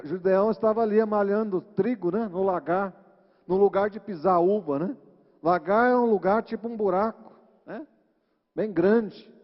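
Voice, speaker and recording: A man with a Brazilian Portuguese accent preaching an informal sermon, teaching in a conversational way with frequent tag questions. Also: sits high. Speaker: church preacher. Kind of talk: informal sermon retelling Bible stories. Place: large reverberant church hall. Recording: muffled, echoing church hall recording.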